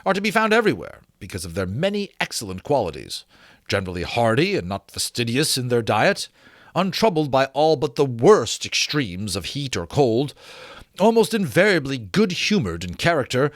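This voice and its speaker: A man voicing a pompous, learned gentleman scholar in a dramatic storybook reading, formal and emphatic as he lectures. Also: mid-range voice, very animated, normal speed, no room sound, studio quality, natural voice. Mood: lecturing, emphatic.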